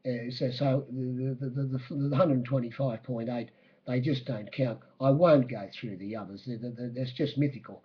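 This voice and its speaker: A husky, croaky Australian man recaps horse races. He speaks haltingly and matter-of-factly, like someone working from memory as a bookmaker. The audio is a little dull and lo-fi. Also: medium pitch, steady tone. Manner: halting, hesitant, matter-of-fact. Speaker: racing bookmaker giving a race-day report. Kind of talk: spoken horse racing recap.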